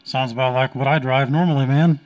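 A man's voice: mid-range voice, fairly even intonation, fairly quick, a little mellow. A man, a radio host chatting casually and joking with a guest.

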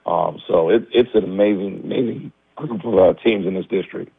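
A man, a high school basketball coach, talking candidly in a radio interview with lots of restarts; his voice is a little raspy as he recovers from the flu. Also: moderate pace, no room sound. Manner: candid, many restarts and fillers. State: recovering from flu, low energy. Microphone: phone line. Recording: tinny dry phone line.

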